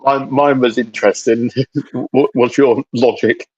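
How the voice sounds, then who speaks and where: A man's voice: medium pitch, fairly even intonation, a little slow, darkish tone, breathy edge. A man co-hosting a casual chat, heard through slightly dull, lo-fi audio. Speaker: co-host.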